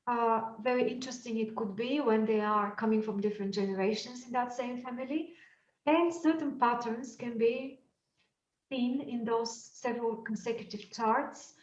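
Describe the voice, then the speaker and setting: A woman from Bulgaria with a Bulgarian accent, teaching astrology in a thoughtful lecture and often pausing with fillers as she searches for words. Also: fairly high pitch. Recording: echoey room, mic at a distance, dull sound.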